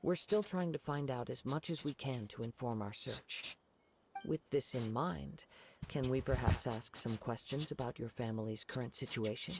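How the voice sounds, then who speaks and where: A man voicing a composed young wandering samurai in a game, polite and measured. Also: medium pitch, noisy background, close to an everyday voice. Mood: calm. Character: calm young male wandering samurai investigator. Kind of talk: game voice acting.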